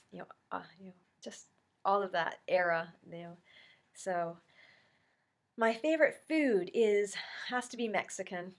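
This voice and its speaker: A woman's voice: mid-range voice, a bit bright. Brief: middle-aged woman vlogger, stuffy with a cold, rambling and chatty, mid-range voice. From Canada. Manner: chatty, rambling, many ums and you-knows. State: congested from a cold. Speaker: YouTube vlogger. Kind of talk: casual Q&A chat to camera.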